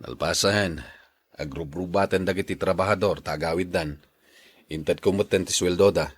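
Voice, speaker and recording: A man's voice: low voice, moderate pace, husky. A man with an Ilocano accent playing a patient, agreeable husband and jeepney driver in a radio drama. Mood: placating.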